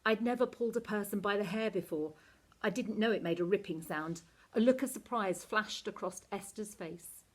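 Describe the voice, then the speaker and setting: A woman narrating a children's novel aloud in an expressive, animated teacher's reading style. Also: mid-range voice, moderate pace, breathy edge.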